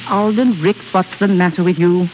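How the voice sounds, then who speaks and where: A woman's voice: on the low side, fairly even intonation, moderate pace, natural voice. An actress playing a fussing, indignant woman of the household in 1930s radio style. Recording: muffled, hissy 1930s radio broadcast.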